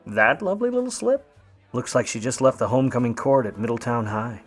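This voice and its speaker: A man's voice: mid-range voice, animated, brisk, natural voice. Guy doing a wry old-time radio gumshoe, slangy sweet-talker, noir style, faint music behind. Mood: wry, flirtatious. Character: grudging, smooth-talking hardboiled 1950 Hollywood private eye.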